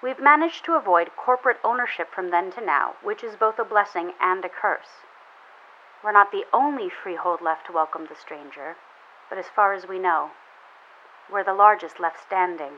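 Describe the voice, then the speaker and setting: A woman playing a weary, resolute enclave leader sending a grave, deliberate distress broadcast in an audio drama, filtered like a radio transmission. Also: mid-range voice. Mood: solemn. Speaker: community leader. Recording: dull radio transmission with hiss.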